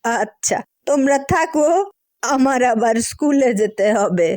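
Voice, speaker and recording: A woman's voice: high voice, animated, a little slow, a little mellow, no room sound, clean studio sound. A woman voicing a folksy elderly farm grandmother in a cartoon, with a Bangladeshi accent and colloquial rural speech. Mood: matter-of-fact. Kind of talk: cartoon dialogue.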